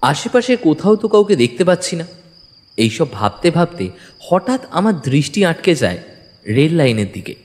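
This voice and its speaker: A man's voice: on the high side, sing-song, normal speed, a little mellow. A man narrating a detective audio story in the first person, suspenseful and dramatic. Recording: long reverb, music bed. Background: music.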